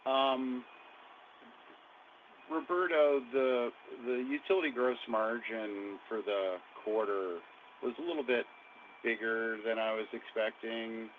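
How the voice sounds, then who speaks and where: Lowish male voice, analyst caller, hesitant questioning, tinny telephone sound, faint noise. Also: steady tone, slow pace. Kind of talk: asking questions on an earnings call.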